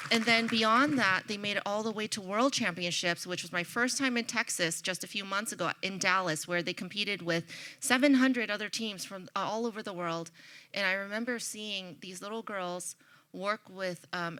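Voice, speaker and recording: A middle-aged woman on a conference panel telling a passionate, animated story about coaching. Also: mid-range voice.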